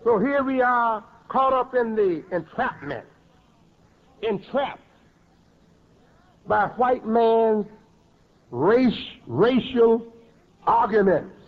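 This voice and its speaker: A man preaching with a very high voice, pressing each point hard and circling back to repeat words. The sound is thin and tinny, like an old phone-line recording. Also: animated, very slow, pauses often. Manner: emphatic, repeats and rephrases words. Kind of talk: sermon.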